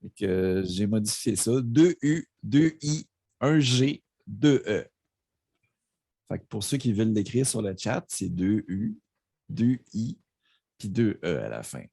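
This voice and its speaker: A man's voice: fairly low pitch, animated, slow pace, creaky, dark tone, husky, no room sound, studio quality. A man with a Quebec French accent, a guest DJ telling stories in a casual livestream chat at his home streaming setup. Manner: laid-back rambling storyteller.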